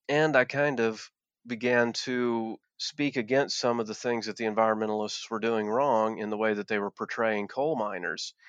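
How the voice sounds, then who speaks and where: A man's voice: on the low side, fairly bright tone, no room sound. A man from southwest Virginia, a former coal miner speaking earnestly as an interview guest, often restarting and repeating words. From southwest Virginia, Appalachia. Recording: dull, dry lo-fi sound.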